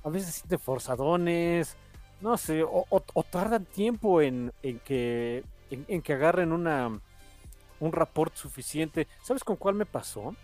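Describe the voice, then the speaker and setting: A man's voice: on the high side, animated, measured pace, darkish tone, soft background music. A man with a Mexican accent hosting a podcast, chatting casually in an enthusiastic, rambling way. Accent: Mexican Spanish. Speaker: podcast host.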